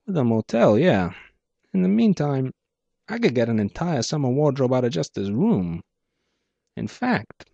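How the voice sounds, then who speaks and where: A man voicing a theatrical, gloating clockwork gangster boss with taunting, drawn-out phrasing in a noir audiobook. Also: mid-range voice, very animated, vocal fry, dark tone, dry recording, muffled recording, pitched above the performer's own voice, full character voice. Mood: wistful wonder. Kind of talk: dramatic audiobook character voice, noir pulp.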